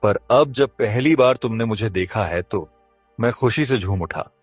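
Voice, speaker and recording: A man's voice: lowish voice, a little slow, dry recording, soft background music. A man voicing a mysterious, solemn cursed kite bird in a cartoon story, speaking in an earnest, portentous way. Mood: earnest, relieved.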